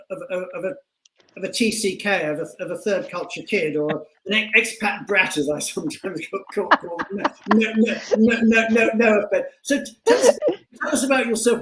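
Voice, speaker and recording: High-pitched male host in an echoey room. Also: a bit bright, slight background noise. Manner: halting, often repeats words mid-sentence. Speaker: show host, interviewer. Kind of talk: casual live online interview. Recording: echoey room on online call.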